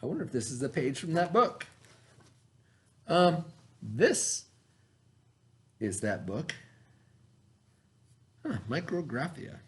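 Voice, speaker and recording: Animated, high-pitched male teacher reading aloud. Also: slow, long pauses. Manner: emphatic, repeats and explains key words for children. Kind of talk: expressive educational read-aloud with explanatory asides.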